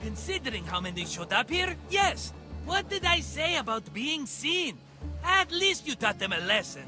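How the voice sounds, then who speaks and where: An actor playing a scheming, flattering, tight-fisted merchant in a video game, with a theatrical, wheedling manner. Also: male voice, very high voice, normal speed, music in the background. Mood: coaxing, teasing. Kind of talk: video game cutscene acting.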